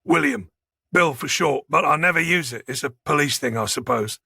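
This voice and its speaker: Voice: medium pitch, sing-song, moderate pace, creaky, bright, forceful tone, slightly rough, no room sound, studio quality, heavily acted. An audiobook narrator playing a modest, slightly awkward policeman with an understated, apologetic manner.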